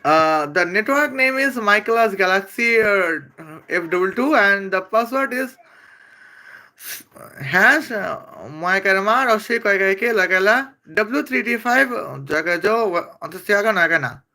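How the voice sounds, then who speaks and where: A speaker from Bangladesh who speaks English as an after Bengali, giving a rambling, halting comedic roast full of hesitations. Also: mid-range voice, animated, bright, forceful tone. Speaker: video creator.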